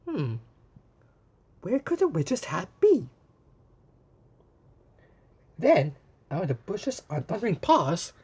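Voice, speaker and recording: A man reading a children's picture book aloud in an animated way, pausing to point things out and exclaim. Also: high-pitched, breathy edge. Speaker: storyteller.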